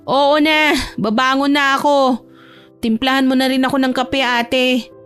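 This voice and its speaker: A woman's voice: high-pitched, fairly even intonation, unhurried, a bit bright, smooth, faint music behind. A woman voicing a sleepy, irritable young woman in a romance audio drama, whiny, grumbling and sarcastic. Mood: grudging.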